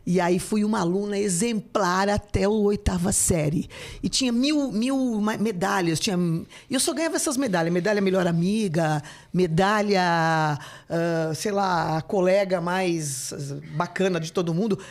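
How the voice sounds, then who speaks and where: A woman in her fifties from São Paulo, Brazil, a guest telling stories with animated, joking energy in a casual podcast interview. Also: mid-range voice, moderate pace. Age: 50s. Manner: chatty, animated storyteller, joking.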